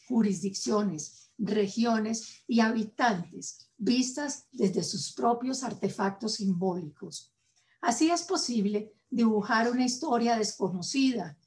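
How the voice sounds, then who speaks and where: A woman with a Colombian Spanish accent, hosting and delivering a formal scripted address in a measured, solemn way with deliberate pauses. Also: medium pitch, a little mellow. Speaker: host.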